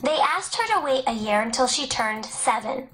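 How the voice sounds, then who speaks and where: High-voiced woman reading educational narration. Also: lively intonation, normal speed, a bit bright. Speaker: audiobook narrator. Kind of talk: scripted educational narration, read aloud.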